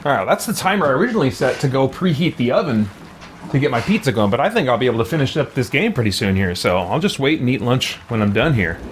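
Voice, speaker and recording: A man's voice: mid-range voice, sing-song, fast, a little hiss or hum. A man hosting a let's play, giving casual commentary as he plays a video game.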